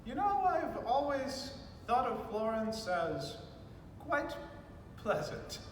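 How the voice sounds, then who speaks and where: A man's voice: very animated, slow pace, heavily acted. A man playing a flustered, courteous elderly British general in a stage play, speaking in stammering, old-fashioned formal phrases. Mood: polite, awkward. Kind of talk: student stage play acting. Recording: echoey hall, distant mic, faint rumbling noise.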